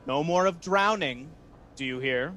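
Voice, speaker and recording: A man's voice: a little high, unhurried, bright, forceful tone, muffled recording, a little hiss or hum. A man playing a scheming, resentful Venetian ensign in a Shakespeare radio play, persuasive and theatrical. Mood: sly urging.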